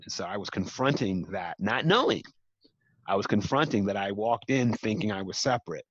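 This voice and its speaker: A man's voice: fairly low pitch, expressive, normal speed, no room sound, dull audio. A man speaking earnestly as an interview guest, building to emphatic repeated points. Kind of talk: reflective interview talk.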